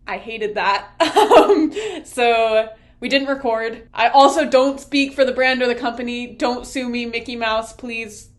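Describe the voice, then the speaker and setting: A woman's voice: on the high side, unhurried. A young woman vlogger chatting casually to camera in a chatty, jokey way. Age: young adult. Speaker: vlogger and college program applicant.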